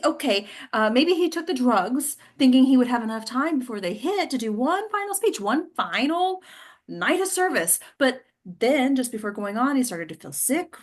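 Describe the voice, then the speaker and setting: A woman acting a bossy, frantic political aide in a comedy table read, commanding and exasperated. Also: fairly high pitch.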